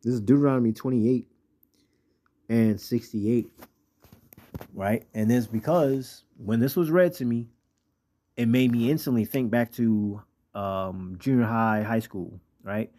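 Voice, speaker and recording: Dark-voiced American man preaching informally. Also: mid-range voice, measured pace. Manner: colloquial, street slang and profanity. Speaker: religious teacher. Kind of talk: informal preaching with scripture readings.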